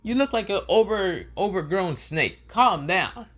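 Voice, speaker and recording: A man's voice: high-pitched, lively intonation, a little slow, fairly bright tone, pitched above the performer's own voice, heavily acted. A man voicing a scornful, sarcastic dragon in a fan story, mocking and dismissive.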